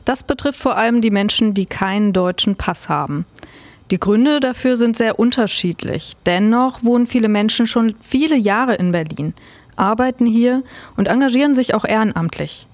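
A woman presenter reading a scripted radio introduction in a clear, even delivery. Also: mid-range voice, no echo.

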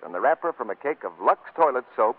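A man's voice: mid-range voice, sing-song, fast talker, slightly rough. A man working as a 1940s radio announcer, reading a scripted sponsor commercial on a muffled vintage broadcast.

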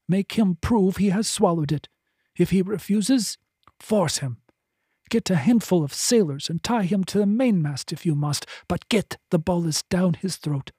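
A man's voice: sits high, animated, a little slow, dark tone, slightly rough, no echo, clean studio sound, pitched above the performer's own voice, full character voice. A man voicing a healer who gives firm, curt orders. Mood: stern. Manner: curt, commanding.

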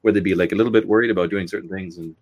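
A quick-talking man from Canada with a fairly low voice. He chats casually as an interviewer, in an informal, opinionated style that rambles a little. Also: fairly even intonation. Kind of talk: casual chat.

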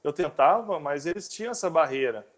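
A man hosting a casual interview, talking in a halting way with frequent restarts. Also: on the high side, lively intonation. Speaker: interview host.

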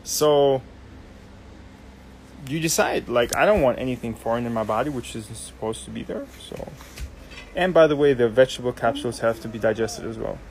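A man's voice: medium pitch, normal speed, rough recording. Young guy doing a chill live q&a, lots of ums, mid voice, bit of background hiss. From Germany. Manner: chatty, explanatory, many ums and restarts. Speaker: host. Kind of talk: casual live Q&A, answering viewer questions.

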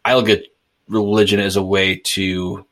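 A deep-voiced man from suburban Boston muses aloud on a casual podcast. He circles back and restarts his sentences as he works out his thoughts. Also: flat delivery, moderate pace, a bit bright. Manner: thoughtful, hesitant, restarts often. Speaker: podcast co-host. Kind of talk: casual discussion.

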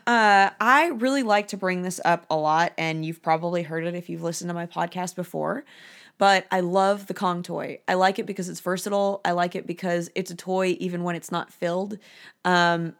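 A woman with a hoarse voice hosts a casual dog-care podcast. She sits a little low in her pitch and talks in a loose, friendly way, dropping ums and uhs as she goes. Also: fairly bright tone. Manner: chatty, with frequent ums and uhs. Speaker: dog trainer, podcast host.

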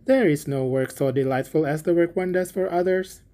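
A man's voice: on the high side, normal speed, warm tone, lightly acted. A man voicing a smug, sanctimonious miller in a storybook, pompous and preachy in his delivery. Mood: smug, condescending. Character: smug, sanctimonious, self-serving male miller. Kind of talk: storybook character voice.

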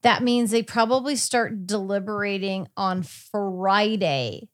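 A woman's voice: mid-range voice, takes it slowly, no room sound. Woman podcaster chatting, close dry sound. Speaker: podcast co-host. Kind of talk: casual true crime podcast chat.

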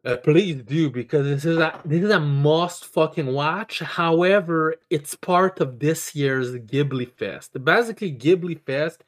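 Halting, slow-paced, excitable podcast host. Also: medium pitch. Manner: halting, stammering, repeats words; excitable, sweary, emphatic. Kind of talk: casual chat, enthusiastic review.

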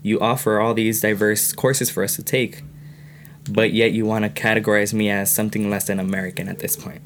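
A young man from California talking earnestly on a podcast, getting animated as he tells his own story. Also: on the low side, fairly quick, a little hiss or hum. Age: young adult. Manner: earnest, animated when retelling. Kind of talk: casual podcast conversation.

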